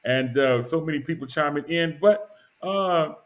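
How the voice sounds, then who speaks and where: A man's voice: fairly high pitch, normal speed. A man hosting a casual live talk show, easygoing and chatty, reading out viewer comments and often stumbling with "uh" and repeated words. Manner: easygoing, repeats himself and fills with "uh". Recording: dull lo-fi audio.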